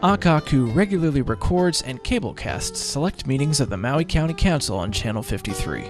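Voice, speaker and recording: A man's voice: medium pitch, lively intonation, fairly quick. A man reading a scripted promotional announcement for a community media station over guitar music.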